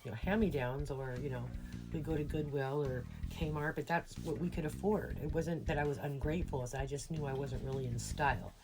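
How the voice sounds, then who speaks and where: A woman's voice: deep voice, fairly quick, music in the background. A middle-aged American woman telling personal stories in a casual, rambling, reflective monologue. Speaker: personal storyteller.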